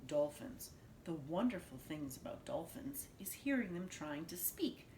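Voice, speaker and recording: Woman, low-pitched, nursery-teacher storyteller reading rhymes expressively for small children, in a roomy echo. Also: very animated, unhurried, warm tone. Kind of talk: expressive children's picture book read-aloud in rhyme. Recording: roomy echo with faint background noise.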